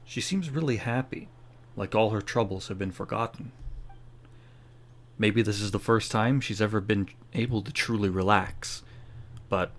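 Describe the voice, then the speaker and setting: A man with a fairly deep voice talks over a game playthrough. He is casual and dry, moving between reading story text and offhand remarks. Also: moderate pace, pauses often. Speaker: gaming streamer.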